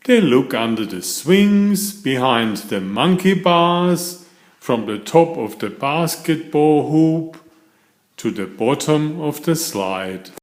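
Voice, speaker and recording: A man reading a children's storybook aloud in an expressive, deliberate manner. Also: on the high side. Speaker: storybook reader. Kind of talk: animated children's read-aloud narration. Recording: roomy echo.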